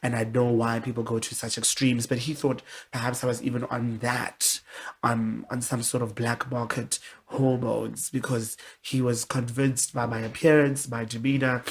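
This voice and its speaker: Woman with a deep voice. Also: normal speed, slight background noise. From South Africa. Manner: candid, expressive, with many restarts. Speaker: interview guest. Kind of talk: casual interview conversation.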